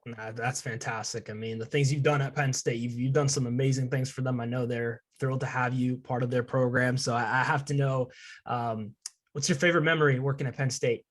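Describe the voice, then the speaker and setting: A young man hosting a casual student podcast interview, agreeable and somewhat halting, repeating words as he goes. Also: medium pitch, fairly even intonation. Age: young adult.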